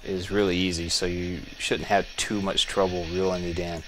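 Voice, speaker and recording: A man with a very low voice talks through a video game in an easygoing, musing way, often pausing to restart a thought. A faint hiss sits behind his home desk microphone. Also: poor audio. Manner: relaxed, musing, thinking aloud with small restarts. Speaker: gameplay video host. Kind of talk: casual gameplay commentary.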